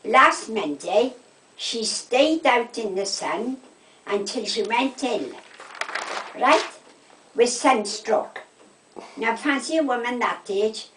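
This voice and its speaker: A woman's voice: a little high, slow pace, pauses often, slight background noise. Echoey, distant Welsh Valleys woman, chatting. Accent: South Wales Valleys. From south Wales. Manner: animated anecdotes, quoting conversations, checking with "right?". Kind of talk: casual chat, gossipy storytelling. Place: indoors at home. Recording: echoey room, distant microphone.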